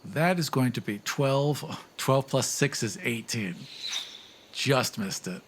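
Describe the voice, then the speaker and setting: A man's voice: medium pitch, expressive, slow pace, slight background noise. A man playing a tabletop game, casually improvising his descriptions as he thinks aloud.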